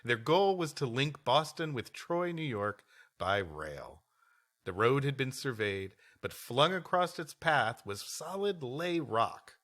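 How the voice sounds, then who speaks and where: A man's voice: medium pitch, big pitch swings, takes it slowly, fairly bright tone. A man co-hosting a comedy podcast, moving between scripted storytelling and bantering chat.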